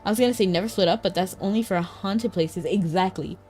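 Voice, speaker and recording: A woman's voice: medium pitch, fairly quick, a little mellow. Female streamer, mid-range voice, casual slangy game commentary, playful and reactive, light music underneath. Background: faint game music.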